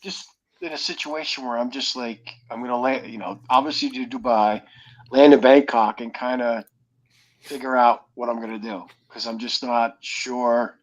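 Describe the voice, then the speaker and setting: A man's voice: mid-range voice, steady tone, moderate pace. A man chatting casually as a host, a little hesitant, thinking aloud as he goes. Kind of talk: casual chat. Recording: dull lo-fi voice channel.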